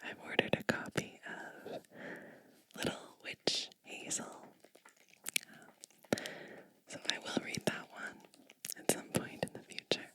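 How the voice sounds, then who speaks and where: A woman speaks entirely in a whisper, crisp and bright in tone. She takes her time as an ASMR storybook host, moving very slowly and leaving gaps between short phrases. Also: poor audio. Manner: hushed, unhurried, pausing between short phrases.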